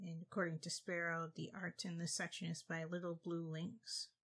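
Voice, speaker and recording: Husky, lowish-voiced woman commenting casually. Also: level tone, normal speed, a bit bright. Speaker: reaction host.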